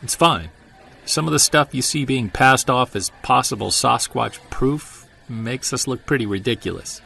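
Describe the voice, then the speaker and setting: An actor playing a weary Sasquatch investigator who narrates and talks in a low-key, guarded way in an audio drama. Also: male voice, moderate pace, creaky, pushed and bright, slightly rough, soft background music, full character voice.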